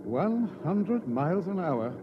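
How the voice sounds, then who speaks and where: A man's voice: high-pitched, big pitch swings, takes it slowly, noisy background, full character voice. An actor playing a polite, inquisitive male sociologist visiting from abroad in a 1950s radio drama, hesitant and courteous. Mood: polite curiosity. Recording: dull vintage radio recording.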